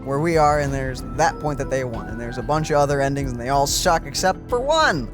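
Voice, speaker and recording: Male gaming co-host, expressive mid-pitched voice, casual and reactive, game music behind. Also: brisk. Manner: informal, reactive. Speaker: let's play co-host.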